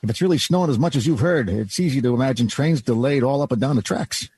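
A man's voice: mid-range voice, fast talker, a little mellow, breathy edge, no room sound, natural voice. An actor playing a kindly, folksy department store doorman in an old-time radio drama, reassuring and a little hesitant.

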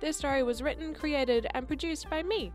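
A woman's voice: high voice, normal speed. A woman reading scripted narration and credits as the writer and producer of a show. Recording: music behind the voice. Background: music.

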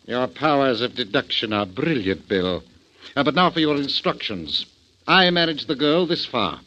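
Polished, condescending criminal mastermind, old radio. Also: male voice, medium pitch, sing-song, moderate pace, sharp tone, a little hiss or hum. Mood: smooth, condescending. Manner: formal, wordy diction, condescending. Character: polished, erudite criminal mastermind called Professor, calm and courteous. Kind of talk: 1940s radio drama acting. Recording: dull old 1940s radio recording.

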